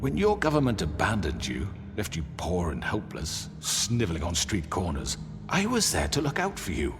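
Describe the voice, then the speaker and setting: An actor playing a smooth, manipulative crime boss in a video game, with measured, theatrical speechifying. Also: male voice, sits low, huge pitch swings, full character voice. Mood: self-righteous. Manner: measured, theatrical, silky self-righteous speechifying. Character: smooth, manipulative crime boss, self-justifying older man. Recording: reverberant hall sound under loud music.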